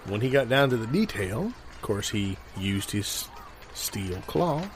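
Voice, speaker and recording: A man playing a circus showman who tells absurd tall tales in a deliberate, deadpan way, as improvised comedy. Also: lowish voice, noisy background. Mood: deadpan storytelling.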